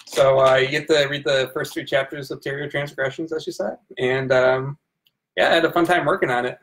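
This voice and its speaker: A man in his thirties chats casually as a livestream sidekick. His pitch sits in the middle, and the webcam recording carries faint noise. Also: steady tone, fairly quick, fairly bright tone. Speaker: assistant and co-host. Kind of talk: casual chat. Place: indoor room at home by a window. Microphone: webcam, seated back from it.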